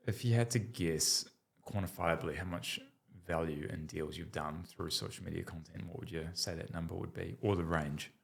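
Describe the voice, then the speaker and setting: A man's voice: sits low, moderate pace, gravelly, hardly any pauses. A man hosting a podcast, asking encouraging, probing questions in casual chat. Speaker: podcast host.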